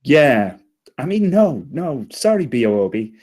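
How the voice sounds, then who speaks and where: A man's voice: mid-range voice, sing-song, a little slow, a little mellow. A man playing an anxious, eager spaceship crew leader, flustered and hesitant, at a comedy table read.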